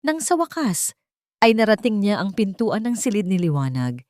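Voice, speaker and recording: A woman's voice: mid-range voice, big pitch swings, moderate pace, darkish tone, no echo, studio quality. A woman narrator reading a romantic story as dramatic scripted narration.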